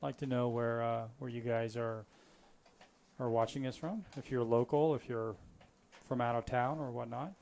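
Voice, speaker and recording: A man from Florida doing live poker commentary, relaxed and chatty, with frequent fillers and restarts. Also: mid-range voice, moderate pace, creaky.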